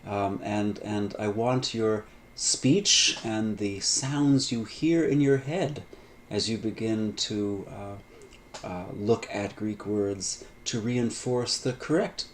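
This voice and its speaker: A middle-aged man giving a recorded online lecture on biblical Greek, measured and full of "uh" fillers, stretching out key terms. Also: lowish voice, a little hiss or hum. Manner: measured, frequent "uh" fillers, drawn-out emphasis on terms. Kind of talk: recorded online lecture over textbook pages.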